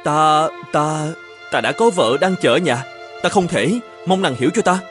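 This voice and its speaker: Casting: evasive, courteous artist husband for animated folktale, fairly high male voice, hesitant delivery. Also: moderate pace, soft background music. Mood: reluctant. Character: married painter, earnest, evasive adult Japanese man.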